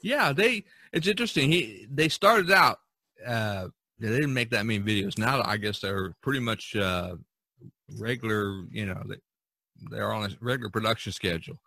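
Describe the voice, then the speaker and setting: A man with a bright, forward voice hosts a casual podcast. He talks haltingly, restarting phrases as he goes. The sound is dry and close to the mic. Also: lowish voice, lively intonation, dry recording. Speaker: podcast host.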